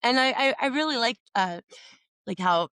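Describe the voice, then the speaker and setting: A woman's voice: a little high, animated, normal speed, pushed and bright, clean tone, no room sound. A woman hosting a podcast, talking in a hesitant, searching way with many fillers and restarts. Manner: hesitant, lots of "like" and restarts, laughs easily. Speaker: podcast host. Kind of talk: conversational interview.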